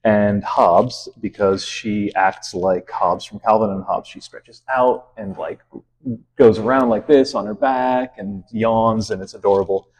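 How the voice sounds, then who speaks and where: A man who teaches math, answering interview questions in a relaxed, friendly way with many fillers. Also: on the low side, measured pace, poor audio. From Lebanon.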